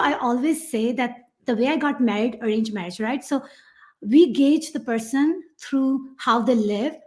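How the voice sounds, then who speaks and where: A middle-aged woman with a Pakistani accent, a guest on a podcast, telling her story in a rambling, animated way with many fillers. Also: fairly high pitch. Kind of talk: podcast interview.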